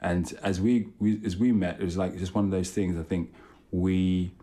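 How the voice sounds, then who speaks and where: A man's voice: low voice, steady tone, normal speed, mellow. A young British man, a podcast co-host, telling his own story in a chatty, informal, self-deprecating way. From born in London, raised partly in Italy and England. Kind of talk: casual personal storytelling.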